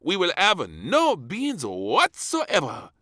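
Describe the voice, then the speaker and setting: A man voicing a flustered, excitable male chef with theatrical flourish in a storybook reading. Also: sits high, slow pace, dry recording, clean studio sound, voice pushed up, full character voice. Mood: announcing.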